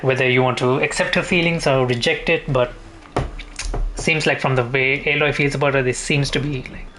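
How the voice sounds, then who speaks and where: A man's voice: medium pitch, bright, forceful tone, a little hiss or hum. A man giving casual, informal playthrough commentary as a gaming streamer, reactive and prone to swearing.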